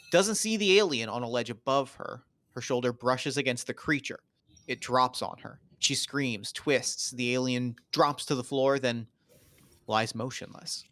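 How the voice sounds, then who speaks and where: A man's voice: medium pitch, fairly bright tone, no echo. A man reading stage directions as the narrator of a scripted table read.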